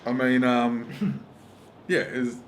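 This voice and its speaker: Australian man, middle-aged, mid-pitched voice, comedian in casual chat, swears a lot, emphatic, faint background noise. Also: drawn-out words. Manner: profane, emphatic storytelling with acted-out quotes. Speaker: stand-up comedian guest.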